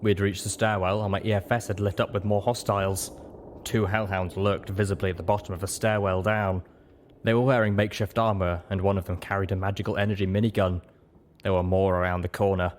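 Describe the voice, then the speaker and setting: A man's voice: low voice, flat delivery, brisk, a little hiss or hum. A man reading scripted first-person story narration as an audiobook narrator.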